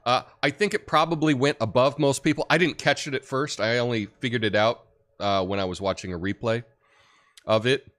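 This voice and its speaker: Deep-voiced man, relaxed rambling livestream chat, mid-pitched. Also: a little fast. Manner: rambling, relaxed, many fillers and restarts. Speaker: livestream host. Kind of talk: casual livestream chat reading comments.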